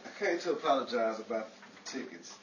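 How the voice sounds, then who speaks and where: A man's voice: medium pitch, animated, fast talker, muffled recording, lightly acted. A man playing a bumbling, stammering husband with exaggerated delivery in a 1940s-style radio comedy. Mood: sheepish. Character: Dennis, bumbling sheepish husband, prone to hysterical panic. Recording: echoey, far from mic, very noisy.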